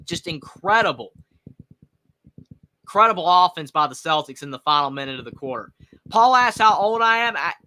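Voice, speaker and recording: A twenty-year-old man from North Carolina with a Southern accent, calling a game live and reading viewer questions with excitement. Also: normal speed, pauses often, rough recording. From North Carolina, USA. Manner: excited, rising pitch on big plays. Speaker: sports live-stream host. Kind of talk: live play-by-play reaction with viewer chat.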